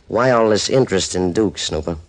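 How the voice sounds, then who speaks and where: An American man playing a smooth-talking gambler and club boss in an old-time radio crime drama, sarcastic and full of veiled threats. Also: deep voice, unhurried.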